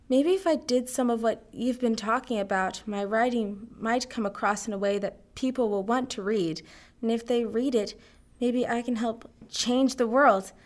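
A woman's voice: fairly high pitch, close to an everyday voice. A woman voicing a verbose, eccentric young student who rambles earnestly.

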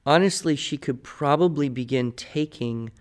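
Male, American, podcast expert. Articulate, opinionated explainer with a conversational mid-pitched delivery. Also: normal speed. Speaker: podcast guest expert, writing teacher. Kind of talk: conversational advice, answering listener questions.

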